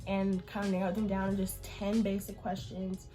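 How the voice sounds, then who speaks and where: A woman's voice: medium pitch, level tone, normal speed, dark tone, faint music behind. An eighteen-year-old woman vlogger with a nasal, congested voice from allergies, chatting casually through a Q&A. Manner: chatty, informal, lots of fillers. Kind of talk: casual Q&A chat to camera.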